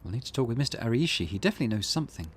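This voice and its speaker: A man voicing a calm, analytical young woman investigating curses in a visual novel game. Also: medium pitch, husky. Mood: serious, measured. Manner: measured, analytical.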